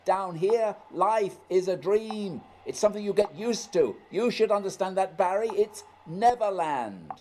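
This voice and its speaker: A man's voice: high-pitched, lively intonation, a little slow, few pauses, mellow. A man acting in a radio play as a brooding, burdened leader of a polar expedition, grave and introspective. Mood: commanding. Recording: echoey reverberant room, faint noise.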